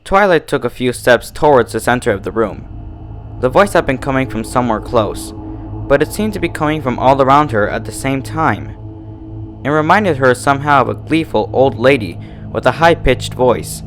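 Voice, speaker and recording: Expressive man narrating a horror story. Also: medium pitch, normal speed, faint music behind. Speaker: fan fiction narrator.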